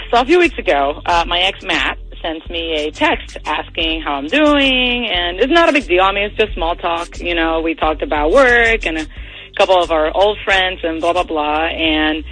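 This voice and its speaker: A woman's voice: lowish voice, animated, normal speed, a bit bright, faint music behind, low-quality recording. A young woman calling a radio show, telling a personal story in a casual, hesitant, sighing way.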